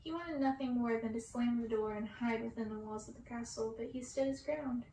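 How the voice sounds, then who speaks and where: A woman's voice: on the high side, fairly quick, warm tone. A woman narrating a story, reading it aloud. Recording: roomy, distant mic, faint noise.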